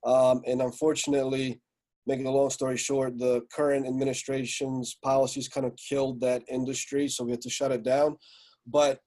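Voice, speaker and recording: A man from Slovakia in his forties, a guest on a podcast, telling his story in a relaxed, rambling way with many fillers. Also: medium pitch, normal speed. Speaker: guest, music entrepreneur. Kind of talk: casual podcast interview.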